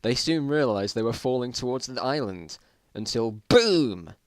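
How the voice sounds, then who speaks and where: A man's voice: medium pitch, lively intonation, a little slow, no echo. A man giving an amateur dramatic reading of his own old story, with amused, self-mocking asides. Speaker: narrator.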